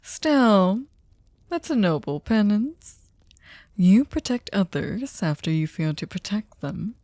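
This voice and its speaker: A woman's voice: medium pitch, sing-song, takes it slowly, mellow, no room sound, clean studio sound. A woman playing a sadistic, seductive aristocratic vampire in an ASMR audio drama, teasing and mock-polite, often slipping into a whisper. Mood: mocking, amused.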